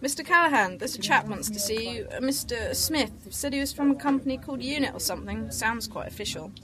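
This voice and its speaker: A woman's voice: on the high side, animated, fast, a crowd in the background, close to an everyday voice. A woman playing a chatty, teasing office receptionist.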